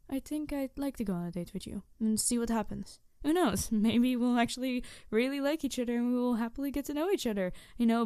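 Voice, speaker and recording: A woman playing a snarky, passionate supervillain who is secretly tender, in a halting, sardonic ASMR audio roleplay. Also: fairly high pitch, warm tone, no room sound, studio quality, natural voice. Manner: halting, sighing, emotionally candid, sardonic.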